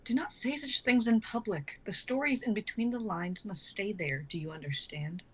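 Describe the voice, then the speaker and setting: A woman's voice: mid-range voice, moderate pace, slightly rough, natural voice. A woman playing a protective, motherly hobbit in an amateur fantasy script reading, stern but caring and earnest.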